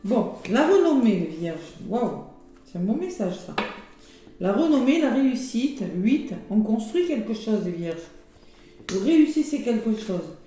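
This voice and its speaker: A hoarse woman chats through a weekly oracle card reading, with plenty of fillers and exclamations. Her voice sounds mellow and dark. It comes from a very echoey room with faint music behind her. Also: on the high side, very animated, measured pace. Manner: chatty, with many fillers and exclamations. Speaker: tarot and oracle card reader.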